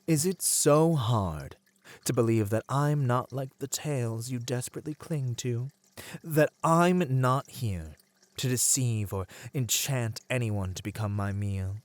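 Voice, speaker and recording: A man playing a captive merman in an ASMR roleplay, charming, plaintive and slyly persuasive, speaking gently in formal, wistful phrases. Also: mid-range voice, a little slow, no room sound, natural voice. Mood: pleading.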